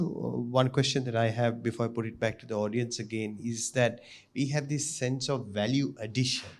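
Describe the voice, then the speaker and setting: A man moderating a public discussion, putting long framed questions to the guest. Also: lowish voice.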